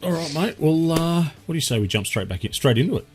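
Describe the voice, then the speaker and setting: An Australian man hosting a gaming podcast in a laid-back chat with his brother, still a little croaky from a cold. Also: fairly high pitch, sing-song, fast, soft background music. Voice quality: husky. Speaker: podcast host. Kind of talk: casual chat.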